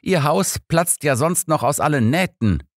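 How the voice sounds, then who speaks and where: Man voicing a blunt old detective, pitched up. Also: animated, normal speed, dry recording, studio quality. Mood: exasperated. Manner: blunt, cool, authoritative. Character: old male detective, cool, blunt, exasperated, clipped. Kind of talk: audiobook character dialogue.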